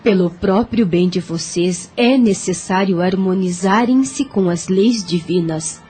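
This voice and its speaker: Woman playing a mellow, solemn spirit guide. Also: medium pitch, a little slow, soft background music, close to an everyday voice. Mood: solemn, counselling. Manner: solemn, didactic. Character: serene, instructive female spirit guide. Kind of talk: audio drama acting.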